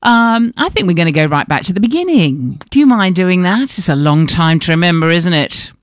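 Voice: mid-range voice, brisk, dry recording. Jokey chatty radio host, voice bounces all over, dry close sound. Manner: playful, jokey and animated.

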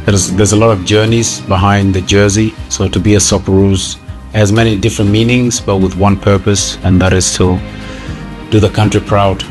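A man's voice: lowish voice, level tone, moderate pace, music in the background. A man, a footballer, giving an earnest, proud testimonial in a scripted promo video.

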